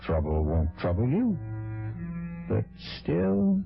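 A man hosting an old radio mystery, narrating with deliberate theatrical delivery. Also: on the low side, wildly swooping pitch, vocal fry. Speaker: radio drama host and narrator. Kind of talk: dramatic scripted narration. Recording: dull vintage radio with music.

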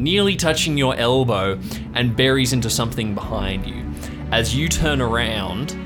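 A man working as game master, improvising vivid, descriptive narration for a tabletop role-play adventure. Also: mid-range voice. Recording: music playing behind the voice. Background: music.

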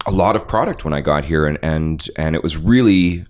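A young man with a deep bass-baritone voice, telling stories animatedly as a guest in a casual podcast interview. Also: fairly quick. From Canada. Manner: animated storyteller, acts out quotes. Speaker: voice actor guest.

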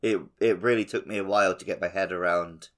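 A man's voice: deep voice, steady tone, fast talker. A man co-hosting a casual gaming podcast with wry, self-deprecating banter. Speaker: podcast co-host. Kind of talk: casual banter about video games.

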